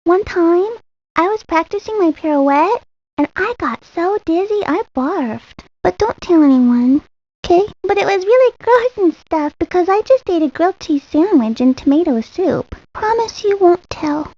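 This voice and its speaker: A woman's voice: extremely high pitch, expressive, unhurried, warm tone. A woman voicing a sweet little ballerina girl in a cartoon video game, girlish and confiding, rambling like she shares secrets. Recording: dull lo-fi 1990s game audio.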